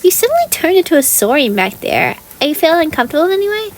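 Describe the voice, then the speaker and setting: A woman voicing a tiny, excitable and blunt female floating companion in a video game, with a high and bouncy delivery. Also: racing, slight background noise. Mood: curious. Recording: game audio over game music.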